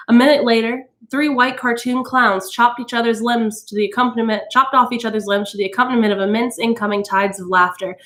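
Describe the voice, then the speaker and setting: A woman reading a novel aloud as a storytime narrator, with expressive delivery. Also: mid-range voice. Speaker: storytime reader.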